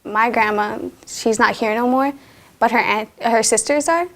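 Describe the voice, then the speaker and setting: A woman's voice: fairly high pitch, normal speed, fairly bright tone. Reflective woman student, plain-spoken. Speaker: student interviewee. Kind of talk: documentary interview.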